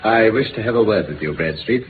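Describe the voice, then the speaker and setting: Through a narrow, noisy vintage radio recording comes a man acting a brisk British detective. His delivery swings widely in pitch, precise and theatrical, full of shrewd self-assurance, as in a 1950s radio play. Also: expressive, lightly acted. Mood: businesslike. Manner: precise, theatrical, deductive pronouncing.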